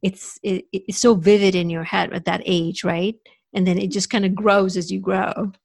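A woman's voice: mid-range voice, flat delivery, normal speed, vocal fry, a little mellow. Earnest woman podcast host. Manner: earnest, with many fillers and restarts. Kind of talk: podcast interview.

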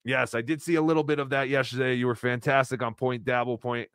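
A man's voice: mid-range voice, steady tone, fast talker, fairly bright tone, clean tone, dry recording, studio quality. A man co-hosting a livestream, reading donations and chatting casually, swearing and laughing as he talks. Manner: loose, swearing, laughs while talking. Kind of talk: casual profane chat.

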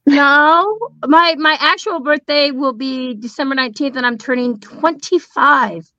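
A woman's voice: sits high, measured pace, bright, forceful tone, dry recording. A woman on a casual comedy panel who talks bluntly and swears freely. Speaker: panel guest. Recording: dull lo-fi sound.

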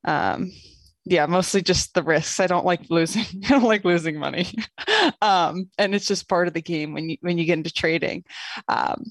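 Lady guest on a podcast, kinda shy, lots of ums, lower voice, dry sound. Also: dry recording. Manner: modest, hesitant with many ums. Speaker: guest blogger. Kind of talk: casual interview answers. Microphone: webcam mic.

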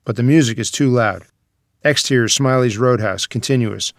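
A man's voice: mid-range voice, moderate pace, no echo, clean studio sound. A man reading stage directions as scripted screenplay narration.

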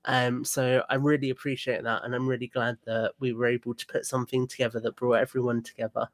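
A man's voice: medium pitch, flat delivery, fast talker, dry recording. A man chatting casually and earnestly as a podcast guest, effusive in how he talks.

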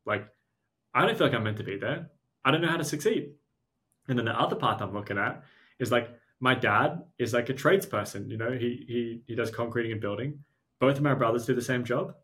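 A man's voice: medium pitch, brisk, bright, forceful tone. A young adult man with an Australian accent, a podcast guest telling his story in a casual, self-deprecating way with frequent restarts. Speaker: podcast guest, startup founder.

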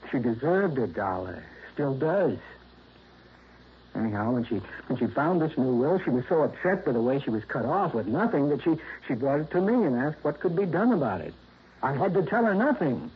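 A man's voice: medium pitch, sing-song, normal speed, husky. An earnest, defensive claims man. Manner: hesitant, defensive, earnest protests. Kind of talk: 1960s radio drama acting. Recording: dull lo-fi vintage radio broadcast.

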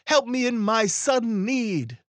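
A man voicing a bold storybook ferryman, calling out loudly and urgently to summon spirits. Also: very high voice, lively intonation, unhurried, no room sound, voice pushed up, exaggerated voice.

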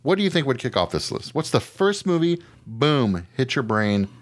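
A middle-aged American man co-hosting a podcast, chatting casually about movies in a slangy, animated, profane way. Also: medium pitch, moderate pace. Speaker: podcast co-host.

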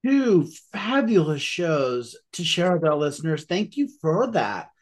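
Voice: medium pitch, very animated, measured pace. A podcast host interviewing a guest with enthusiastic, effusive praise. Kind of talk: interview hosting.

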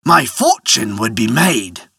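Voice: mid-range voice, very animated, slow, creaky, a bit bright, slightly rough, no echo, studio quality, distant mic, pitched below the performer's own voice, full character voice. A narrator voicing a gullible, wistful man in a storybook, exclaiming eagerly. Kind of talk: storybook character voice.

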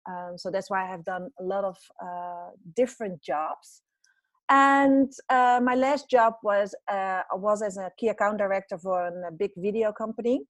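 A woman's voice: medium pitch, moderate pace, dry recording. Chatty Dutch-accented woman in her forties. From Netherlands. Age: 40s. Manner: animated, chatty, many fillers and restarts. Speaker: podcast guest, business coach. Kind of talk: casual interview chat.

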